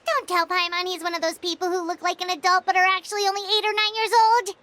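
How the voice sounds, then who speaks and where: A woman voicing a tiny, childlike fairy companion in a video game, squeaky, cheeky and blunt. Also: level tone, fast, bright, forceful tone, a little hiss or hum. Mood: incredulous. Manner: refers to self in third person.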